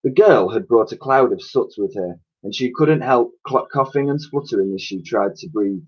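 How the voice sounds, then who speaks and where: Husky man reading a children's story. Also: medium pitch, normal speed, dull audio. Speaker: primary school teacher reading aloud. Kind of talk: expressive children's storybook narration.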